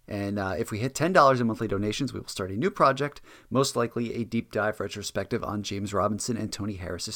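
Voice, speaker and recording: A man's voice: fairly low pitch, vocal fry, fairly bright tone. Man hosting a podcast. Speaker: podcast host. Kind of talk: podcast hosting, scripted sign-off.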